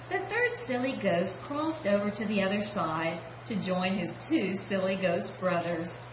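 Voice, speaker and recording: A woman narrating a children's story as a puppeteer. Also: mid-range voice. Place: indoor practice room. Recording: echoey room with clear noise.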